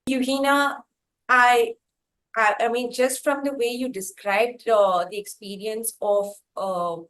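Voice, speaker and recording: A woman host, asking interview questions in long, deliberate, halting phrases. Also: a little high, steady tone, fairly bright tone, low-quality recording.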